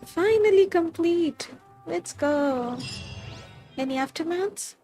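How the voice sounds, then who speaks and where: A woman's voice: extremely high pitch, expressive, slow, warm tone, faint music behind. A woman with an Indian accent giving casual live commentary as a game streamer. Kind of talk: casual live gameplay commentary, mixing Hindi and English.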